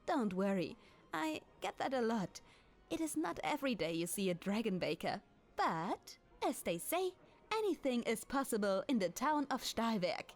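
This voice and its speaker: A woman playing a kind, clumsy, centuries-old dragoness baker in an ASMR roleplay, with a German accent and gentle, apologetic, formal, halting speech. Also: on the high side, big pitch swings. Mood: friendly welcome. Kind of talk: ASMR roleplay, in-character monologue to the listener. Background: music.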